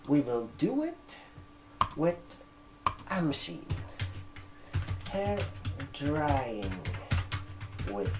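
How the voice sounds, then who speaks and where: A man in his twenties speaks very slowly and haltingly, repeating himself as he plays a receptionist in an ASMR role-play. His pitch moves up and down a lot. The room adds a noticeable echo, and keyboard typing can be heard. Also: wildly swooping pitch, over music. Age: 20s. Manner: slow, halting, repeating words while typing. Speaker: ASMR performer playing a barber shop receptionist. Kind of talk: ASMR role-play, talking to an imagined customer. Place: room with sofas, indoors. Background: computer keyboard typing.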